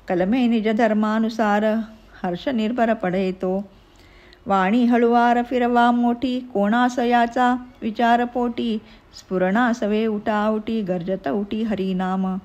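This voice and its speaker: A woman reciting devotional narrative verse in a measured way. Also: fairly high pitch, fairly even intonation, darkish tone.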